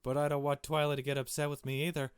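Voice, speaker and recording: A man's voice: a little high, level tone, quick pace, clear, resonant tone, no echo, clean studio sound. A man doing a let's play, reading a visual novel aloud and adding casual, blunt asides. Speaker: gaming video host.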